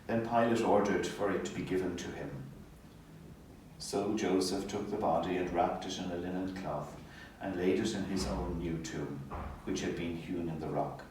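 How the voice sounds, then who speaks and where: Echoey low-voiced man reading scripture. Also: fairly even intonation, a little mellow. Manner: measured, formal. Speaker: clergy reader, Gospel narrator. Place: hospital chapel. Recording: echoey, distant mic, faint ambient noise.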